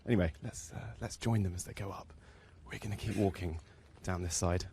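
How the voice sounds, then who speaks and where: A man reporting live from a golf course, talking quietly and walking along with the players as he commentates. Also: sits low, fairly even intonation, a little fast, a little hiss or hum.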